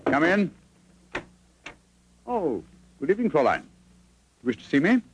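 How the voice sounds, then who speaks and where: An actor playing a brisk, exacting English gentleman detective in 1940s radio drama, crisp, commanding and theatrical. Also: fairly high pitch, sing-song, full character voice. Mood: courteous, brisk. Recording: vintage 1940s radio broadcast recording.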